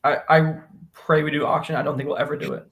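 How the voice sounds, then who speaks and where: A man's voice: a little high, steady tone, quick pace, creaky, a bit bright. A man with an American accent chatting casually with a friend as co-host of a podcast. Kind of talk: casual banter between friends.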